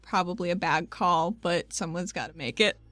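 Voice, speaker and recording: A woman's voice: fairly low pitch, normal speed, fairly bright tone, no echo. Playful woman player at a game table.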